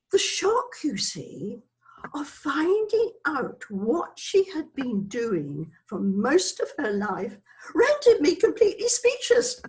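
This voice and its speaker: A scatty, frivolous older British woman tells her life story as a stage monologue. Her voice sits fairly high and swings dramatically up and down, unhurried as she wanders off the point and excuses herself. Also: heavily acted. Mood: wistful. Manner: rambling, frivolous, digressive, self-excusing. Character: chatty, scatty older Englishwoman named Isabella, reminiscing.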